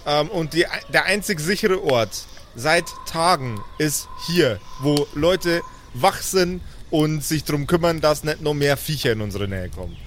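A fairly high-voiced male adventurer, acted by a man, exasperated yet caring. He pleads and lectures insistently in an improvised roleplay audio play, with faint cricket-filled night ambience behind him. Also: slight background noise, close to an everyday voice.

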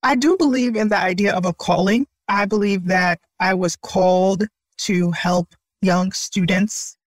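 A woman's voice: on the low side, no room sound. A woman from Brooklyn whose first language is Haitian Creole, speaking earnestly and reflectively as an interview guest, often laughing lightly. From Brooklyn, New York. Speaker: startup founder, guest. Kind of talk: conversational interview.

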